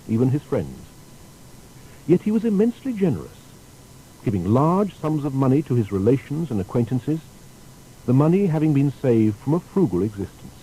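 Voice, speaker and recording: A man's voice: mid-range voice, warm tone, a little hiss or hum, poor audio. A man narrating a scripted biographical documentary with dramatic, emphatic phrasing.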